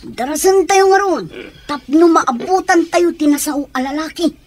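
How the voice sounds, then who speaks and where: High-pitched eager crook's sidekick. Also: female voice, moderate pace. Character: eager, deferential sidekick to a thieving boss. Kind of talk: radio comedy drama acting.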